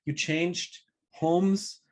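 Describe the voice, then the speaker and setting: A man hosting an online interview, asking questions in a halting way with fillers and restarts. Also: sits high, fairly even intonation. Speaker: host. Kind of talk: interview host.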